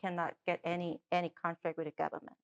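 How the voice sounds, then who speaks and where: A woman's voice: lowish voice, level tone, fast, gravelly, muffled recording. A middle-aged woman with a Korean accent, a native Korean speaker, answering interview questions as a political candidate with frequent restarts and fillers.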